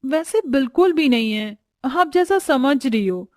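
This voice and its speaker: A woman voicing a bubbly, affectionate young woman in a family story, excitable and gushing. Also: sits high, unhurried, no room sound, clean studio sound, pitched above the performer's own voice. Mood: defensive.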